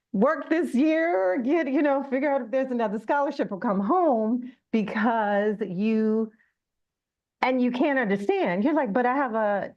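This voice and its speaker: Female small-business coach, mid-pitched, conversational presenter using examples and questions; slightly lo-fi sound. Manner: conversational, illustrates points with acted-out examples and rhetorical questions.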